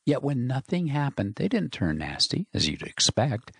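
A man voicing a gruff, skeptical homesick engineer from Louisiana. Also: mid-range voice, big pitch swings, normal speed, no echo, studio quality, pitched above the performer's own voice, exaggerated voice.

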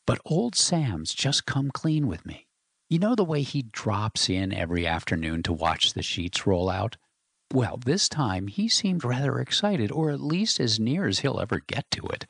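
A man's voice: medium pitch, sing-song, measured pace, gravelly, slightly rough, no room sound, studio quality, pitched above the performer's own voice, exaggerated voice. A man voicing a worried young engineer who talks urgently and uneasily. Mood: urgent, uneasy.

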